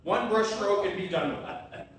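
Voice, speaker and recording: An actor playing a painter's concerned, admiring friend in a live one-act stage play, earnest, prodding and exasperated. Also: male voice, sits high, moderate pace, a bit bright. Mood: worried, prodding. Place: tavern theater venue. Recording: echoey room, distant mic, faint noise.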